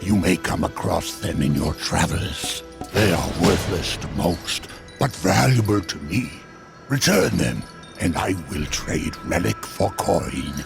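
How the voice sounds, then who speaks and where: An actor playing a shrewd, mysterious relic collector in a video game, speaking slowly and solemnly over music. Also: male voice, sits low, lively intonation, vocal fry, exaggerated voice. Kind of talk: dramatic video game voice acting. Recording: music behind the voice.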